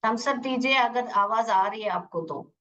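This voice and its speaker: A woman's voice: a little high, moderate pace, fairly bright tone. A woman with an Indian accent teaching a live online English lesson, explaining with examples and checking understanding with short tag questions. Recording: dull, distant-sounding online class audio.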